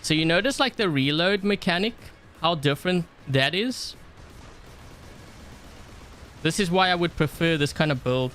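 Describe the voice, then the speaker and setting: A man's voice: a little high, moderate pace, pauses often, a bit bright, slight background noise. A man from South Africa commentating his own game live, chatting and thinking aloud with lots of fillers. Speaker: game streamer. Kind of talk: casual live-stream gameplay commentary.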